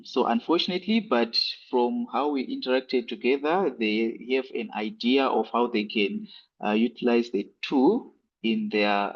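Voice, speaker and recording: Halting man on dull, lo-fi audio. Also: medium pitch. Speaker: workshop breakout facilitator. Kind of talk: informal spoken session report.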